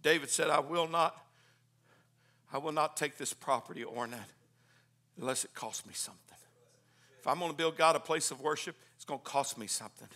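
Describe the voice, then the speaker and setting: A man preaching a Pentecostal sermon, swinging from hushed, quick asides to shouted hallelujahs. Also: a little high. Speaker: pastor. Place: church.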